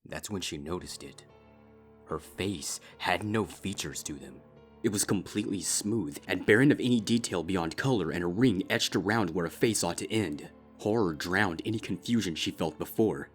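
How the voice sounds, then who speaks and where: A man narrating a dark fan fiction story in a steady, measured way. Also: deep voice, faint music behind. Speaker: fanfic reader. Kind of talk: scripted narration.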